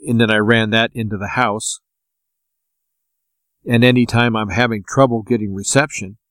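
A man speaks at a middle pitch in a dry, close recording, as a radio host would. He explains practical tips informally, in a plain-spoken and unhurried way. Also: no echo. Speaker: radio show host giving advice.

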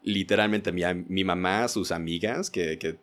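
A man's voice: sits low, normal speed, fairly bright tone. A man talks casually as a podcast guest. He reflects in a personal way, searching for words and often restarting to qualify what he means. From United States, Indiana. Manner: hesitant, self-correcting, qualifying himself.

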